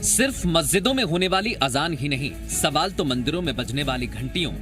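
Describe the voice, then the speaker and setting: A man's voice: fairly high pitch, expressive, brisk. A man reading a scripted news report as a voice-over. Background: music bed.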